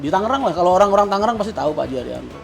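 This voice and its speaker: A man in his late thirties with an Indonesian accent talks quickly in a fairly high voice over faint music. He is a guest in a casual chat, speaking colloquially and often asking questions. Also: expressive, creaky. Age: late 30s. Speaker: guest, bus company figure.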